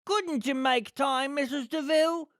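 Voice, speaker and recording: A woman's voice: high-pitched, a little slow, dry recording, studio quality, pitched above the performer's own voice. A woman voicing a simple male henchman in a storybook, whiny, fawning and ingratiating.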